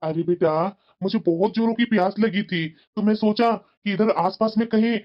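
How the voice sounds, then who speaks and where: A woman's voice: medium pitch, normal speed, lightly acted. A woman voicing an irritable, scheming father in a family moral cartoon, grumbling and evasive. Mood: falsely reassuring. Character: scheming, irritable father who resents his daughters. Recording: dull, lo-fi cartoon voice track.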